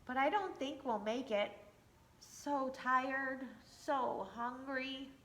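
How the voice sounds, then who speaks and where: A woman's voice: sits high, takes it slowly, a bit bright, reverberant. A woman voicing an exhausted, hungry wooden mother whose voice trails off. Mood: weary. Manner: tired, trailing off.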